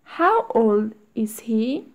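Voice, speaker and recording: A woman reading short English phrases aloud one at a time, with long pauses for learners to repeat. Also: fairly high pitch, very animated, darkish tone. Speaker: English teacher. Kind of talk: slow read-aloud drill for learners.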